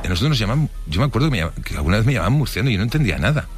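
A middle-aged man with an accent from Spain, recalling his life in a casual, colloquial way, halting and reflective as he goes. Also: lowish voice, sing-song, over loud music. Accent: Spanish from Spain. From Barcelona, Spain. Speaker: interviewee telling his life story. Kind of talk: casual spoken recollection.

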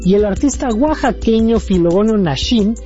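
Mellow-voiced radio co-host. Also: mid-range voice, lively intonation, moderate pace, highs cut off, soft background music. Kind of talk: radio interview.

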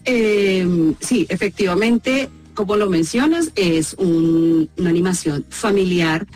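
A woman's voice: deep voice, darkish tone. A woman with a Colombian accent, a voice actress speaking as an interview guest, explaining and telling anecdotes about her work.

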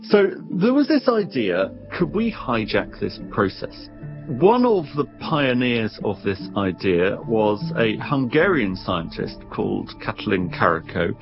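A man speaking as an expert guest, explaining things conversationally in an animated, wry way, sometimes doubling back on his words. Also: lowish voice, measured pace, creaky, highs cut off, over music.

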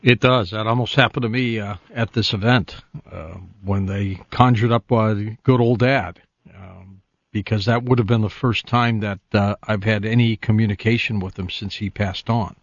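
A man's voice: lowish voice, steady tone, normal speed, dry recording. A man with an American accent hosting a radio call-in show in a casual, conversational style. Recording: dull, dry radio broadcast.

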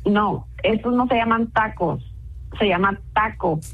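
A woman's voice: mid-range voice, unhurried. A woman chatting casually on a phone call, patient and good-humoured. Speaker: phone-in prank target.

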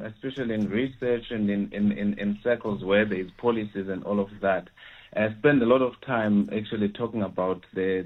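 Hesitant academic guest, deepish voice, heard over a thin phone line. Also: male voice. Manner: hesitant, many fillers and restarts. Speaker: education researcher. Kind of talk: interview answers.

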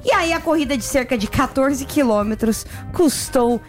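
A woman's voice: high-pitched, normal speed, loud music behind the voice. Woman co-host telling news stories. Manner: tells news stories with exclamations. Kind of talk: casual news chat.

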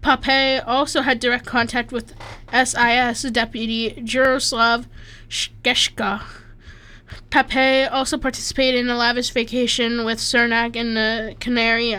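Woman from Slovakia, bright high voice, reads notes casually, trips on names. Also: level tone, unhurried, clean tone. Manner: stumbles over names, self-deprecating asides. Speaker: co-host reading an article. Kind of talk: casual chat, reading aloud.

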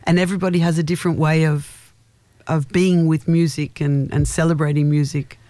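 Deep-voiced woman, halting radio guest. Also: flat delivery, mellow, dry recording. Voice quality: naturally deep voice. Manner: thoughtful, halting, many restarts. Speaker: guest singer. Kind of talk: radio interview. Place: radio studio.

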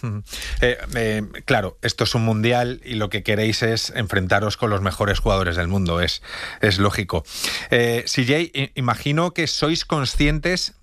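A man's voice: lowish voice, moderate pace, a bit bright, no echo, clean studio sound. A man interviewing a footballer, friendly and encouraging, with frequent filler sounds. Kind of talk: sports interview.

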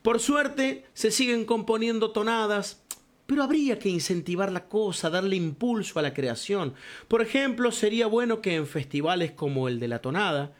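A man's voice: high-pitched, expressive, normal speed. An older man telling stories about folk song in a reflective radio column and calling the listener compadre. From Cuyo, Argentina. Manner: warm storytelling, addresses listener as compadre.

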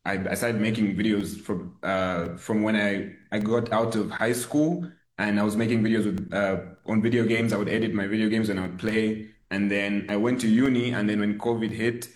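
A man's voice: fairly low pitch, roomy sound. A young man with a Zambian accent, an upbeat and joking guest chatting casually in an interview. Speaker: guest, video creator.

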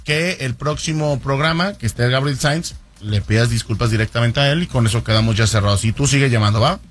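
Fast-talking male sports radio host. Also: medium pitch. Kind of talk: casual chat.

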